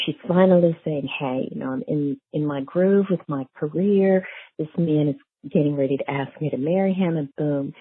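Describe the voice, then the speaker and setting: A woman's voice: deep voice, husky, dry recording. A middle-aged woman, a guest author in a casual interview, telling stories conversationally and stressing key phrases. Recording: thin phone-like line.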